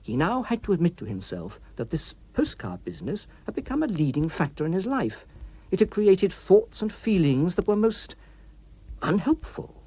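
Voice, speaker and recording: Male audiobook narrator, precise and expressive storytelling, voice a bit high, really dry close studio sound. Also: moderate pace, husky, no room sound. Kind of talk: scripted dramatic story narration.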